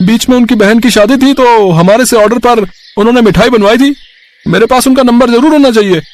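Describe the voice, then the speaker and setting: A man voicing a helpful, chatty male sweet-shop keeper in an animated story, hesitating as he recalls things. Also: very high voice, a little fast, voice pushed up.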